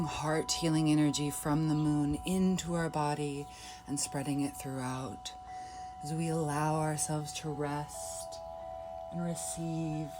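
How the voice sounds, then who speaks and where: Slow, low-voiced woman guiding meditation. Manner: soft, unhurried, invitational guiding. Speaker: yoga nidra guide. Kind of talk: guided meditation.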